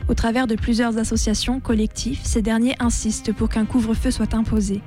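A woman's voice: a little high, flat delivery, a little fast, dark tone, faint music behind. A woman reading scripted documentary narration.

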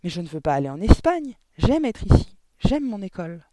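A man voicing a bright, excitable little girl from a storybook, eager and insistent in speech. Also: a little high, fairly quick, no room sound, natural voice. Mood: alarmed, indignant. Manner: exclaiming, urgent questioning.

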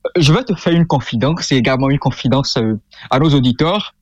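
A man's voice: mid-range voice, animated, a little fast, no echo, dull audio. A young man from Benin, a guest author speaking earnestly with frequent hesitations. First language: Fongbe. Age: young adult. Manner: earnest, explanatory, many hesitations. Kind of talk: interview conversation.

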